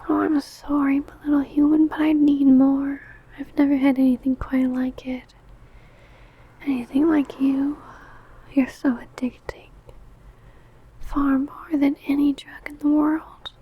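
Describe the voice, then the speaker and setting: Woman acting a slow, whispering, possessive vampire woman. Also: sits high, level tone, narrow, tinny audio. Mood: hungry, obsessed. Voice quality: breathy whisper. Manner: slow, intimate, savouring phrasing. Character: possessive, predatory female yandere vampire, teasing and menacing. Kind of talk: ASMR roleplay, whispered.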